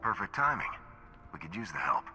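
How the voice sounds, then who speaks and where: An actor playing a resolute, commanding hero in a video game. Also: male voice, on the low side, very animated, bright, forceful tone, full character voice. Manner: brisk, commanding. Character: resolute heroic male commander in space war. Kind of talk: dramatic video game dialogue. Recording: long reverb, music behind.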